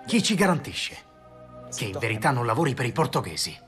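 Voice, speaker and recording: A man's voice: medium pitch, very animated, brisk, fairly bright tone, faint music behind. An actor playing a proud, resentful Spanish nobleman captain who speaks in an indignant, challenging way in a dubbed docudrama.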